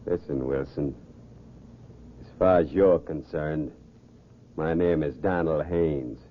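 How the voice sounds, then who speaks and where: An actor playing a cold, smooth, calculating young criminal in an old radio crime drama, commanding and self-assured. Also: male voice, rumbling low, slow, a little hiss or hum. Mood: cool, insistent. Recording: muffled old radio recording.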